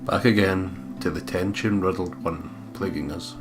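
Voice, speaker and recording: A man's voice: deep voice, fairly even intonation, moderate pace. A man hosting a let's play, reading game narration aloud with casual commentary. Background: guitar music from game.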